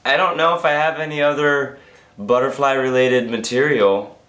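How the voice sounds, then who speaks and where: A tired young man vlogging casually, hoarse and losing his voice from being sick, rambling and interrupting himself. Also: medium pitch, fairly even intonation, moderate pace, a bit bright. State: tired after a long work shift. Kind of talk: casual daily vlog. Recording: echoey room.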